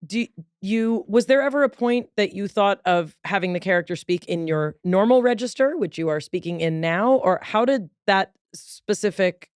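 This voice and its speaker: A woman's voice: mid-range voice, animated, moderate pace, clean tone, dry recording, clean studio sound. A woman hosting a podcast interview, talking in an articulate, reflective way and explaining at length. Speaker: podcast host. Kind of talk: casual interview chat.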